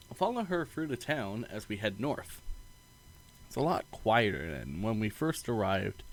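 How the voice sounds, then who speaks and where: A man's voice: mid-range voice, low-quality recording. A man streaming a game, reading its narration aloud expressively, sometimes stumbling and breaking into casual asides.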